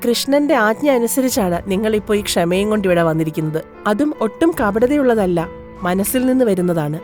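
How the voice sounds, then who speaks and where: A woman voicing a devout, firm and protective young village mother in an animated moral story. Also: mid-range voice, a little fast, natural voice. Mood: forgiving. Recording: music playing behind the voice.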